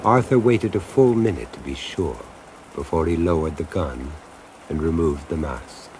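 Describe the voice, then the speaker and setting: A man's voice: a bass voice, expressive, normal speed, a little mellow, a little hiss or hum. An older man narrating a horror radio drama in a grave, relishing tone.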